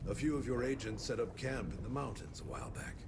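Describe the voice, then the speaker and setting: An actor playing a kindly older frontier priest in a video game cutscene, gentle, folksy and unhurried in a preacherly way. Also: male voice, medium pitch, background noise, natural voice. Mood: wry, chatty.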